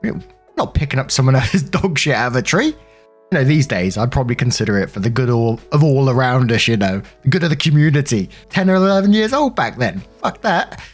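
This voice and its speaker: Casting: British man, medium-pitched voice, informal story narrator who rambles and swears freely, light music bed. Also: sing-song, brisk. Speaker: narrator and host of a story compilation. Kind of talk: casual storytelling with personal commentary.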